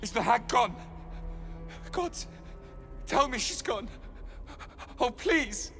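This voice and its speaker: A man's voice: very high-pitched, expressive, slow, a bit bright, faint music behind, full character voice. An actor voicing a traumatized male elf prisoner in a video game, with a trembling, bitter delivery. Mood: terrified.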